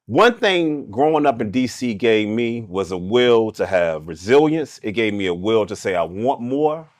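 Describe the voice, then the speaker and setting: A man's voice: fairly low pitch, expressive, moderate pace, dry recording, clean studio sound. A man in his fifties from Washington D.C. with a D.C. accent, telling his story as a guest in a casual podcast talk, emphatic and repeating phrases. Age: 50s.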